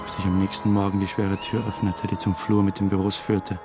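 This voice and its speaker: A man's voice: low voice, flat delivery, brisk, loud music behind the voice, lightly acted. An actor playing a diffident young theatre dramaturg who narrates his own story in a radio drama, dry and understated. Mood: brooding.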